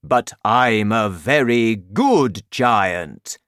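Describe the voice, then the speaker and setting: A man voicing a kind-hearted storybook giant with a slow, emphatic, complaining manner. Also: lowish voice, big pitch swings, no echo, clean studio sound, exaggerated voice. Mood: emphatic, kindly. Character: kind-hearted giant who hates his vegetable food.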